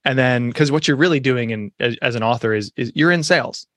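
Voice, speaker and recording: Man, thirties, fast talker, mid pitch, emphatic, conversational interview guest, dry close sound. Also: fairly bright tone, no echo. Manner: fast, emphatic, lots of restarts and "like". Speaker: podcast guest, author and speaker.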